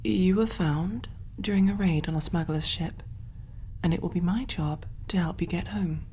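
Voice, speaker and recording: A woman voicing a kind, calm alien medic in a soft, breathy, reassuring ASMR roleplay style. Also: on the low side, moderate pace, slight background noise, lightly acted. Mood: gentle, clinical. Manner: gentle, hushed, reassuring, often near whisper.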